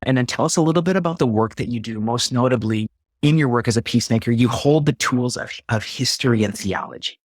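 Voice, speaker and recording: A male host opening an interview over a video call, speaking in an unhurried way with frequent ums. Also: mid-range voice, no echo, studio quality. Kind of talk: interview introduction.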